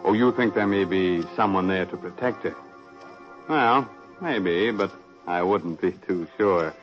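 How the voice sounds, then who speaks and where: A man's voice: low-pitched, measured pace. An actor playing a macabre radio horror host, mocking and ghoulishly amused as he narrates in 1940s style. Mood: mocking, ominous. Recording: dull old radio recording, faint music.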